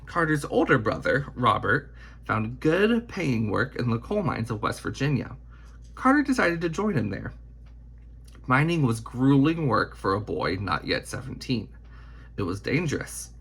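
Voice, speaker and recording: A man reading a children's picture book aloud in deliberate, emphatic phrasing. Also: medium pitch, big pitch swings, creaky, fairly bright tone, breathy edge. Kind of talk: scripted storybook read-aloud.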